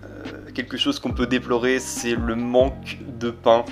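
A young French man studying abroad, speaking in a measured, hesitant way with some dry humor. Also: medium pitch, monotone, soft background music. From France. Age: young adult. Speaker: exchange student. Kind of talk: casual testimonial.

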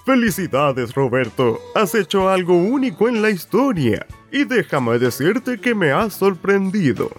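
A man plays a hearty old Father Christmas in a radio play. He speaks slowly with big theatrical swings of pitch and a Chilean accent. Faint music sits behind him. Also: very animated. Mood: grateful, jolly. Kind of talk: radio play character acting.

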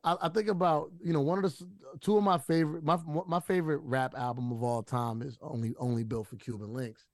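A man with a husky voice, talking loosely with lots of restarts and fillers as an interview guest. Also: medium pitch, steady tone, fast talker, few pauses, no echo, clean studio sound. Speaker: rapper guest. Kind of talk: casual interview talk.

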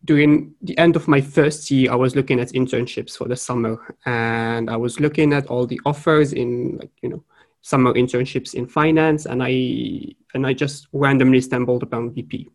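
A young man from Morocco, talking as a podcast guest in an unscripted way, pausing to search for words. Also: medium pitch, steady tone. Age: young adult. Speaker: podcast guest, trading graduate. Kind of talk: casual podcast interview.

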